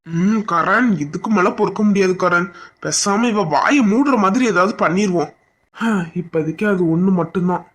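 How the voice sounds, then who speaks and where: A woman playing a husband who softly tries to calm his crying wife, in an amateur family drama. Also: medium pitch, moderate pace, a little hiss or hum, close to an everyday voice. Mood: scheming aside. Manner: soft, coaxing, plain-spoken. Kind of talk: amateur domestic drama acting.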